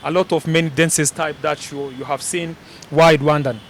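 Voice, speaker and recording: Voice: medium pitch, fairly even intonation, moderate pace. Interviewer, mid-range voice, informal conversational questioning, slight ambient noise. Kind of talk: casual interview with frequent backchannels.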